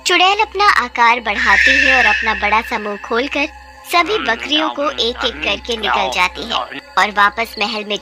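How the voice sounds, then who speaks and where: A woman narrating a scripted children's fairy tale as a storyteller. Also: a little high.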